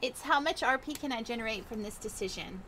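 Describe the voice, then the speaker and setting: A woman's voice: a little high, lively intonation, brisk, pushed and bright, a little hiss or hum. A woman chatting casually during in-game roleplay as a streamer, hesitant and often thinking aloud.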